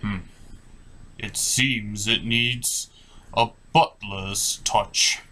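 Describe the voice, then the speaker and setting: A man's voice: on the low side, steady tone, very slow, bright, forceful tone, pitched below the performer's own voice, full character voice. A man voicing a stuffy, stern butler puppet with a formal, pompous manner in a comedy skit.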